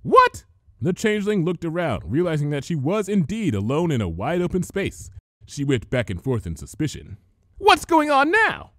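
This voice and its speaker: Male narrator for story reading: fairly high pitch, hugely varied intonation, dry close recording. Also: sing-song, a little fast, a little mellow, no room sound. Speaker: fan fiction reader, narrator. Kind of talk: animated scripted story narration.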